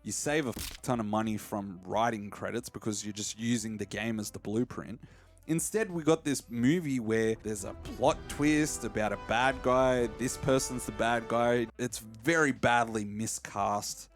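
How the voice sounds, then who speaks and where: A man's voice: medium pitch, unhurried, soft background music. A man hosting a podcast, discussing films in a casual, opinionated way. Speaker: podcast host.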